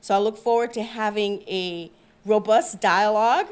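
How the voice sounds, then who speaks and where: A woman's voice: mid-range voice, fairly even intonation, normal speed, smooth. A woman hosting an event, giving upbeat, welcoming opening remarks. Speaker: event host.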